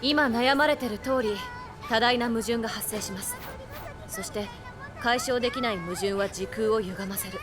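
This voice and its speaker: A woman's voice: a little high, moderate pace, crowd noise, close to an everyday voice. A woman voicing an earnest young female attendant in an anime game, speaking in a formal, courteous, explanatory way. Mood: solemn, explaining. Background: game music.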